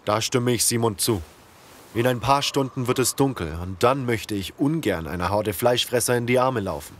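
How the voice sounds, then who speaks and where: A man playing a calm, strategic leader of survivors who explains things in a measured way in an audio drama. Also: mid-range voice, a little hiss or hum, natural voice. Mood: earnest, calm.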